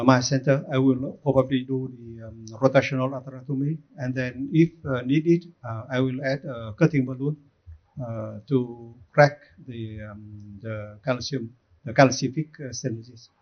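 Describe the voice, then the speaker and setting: A native Vietnamese man with an audible accent speaks on a medical panel. His pitch is average, his delivery halting and full of pauses, and the recording sounds muffled. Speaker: cardiologist panelist. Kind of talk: conference panel discussion. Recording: dull, muffled conference audio.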